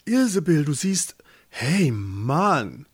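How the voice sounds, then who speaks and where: A man's voice: fairly high pitch, sing-song, very slow, husky, no echo, clean studio sound, heavily acted. A man voicing a timid, humble fisherman husband in a fairy tale, hesitant and pleading. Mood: unsure.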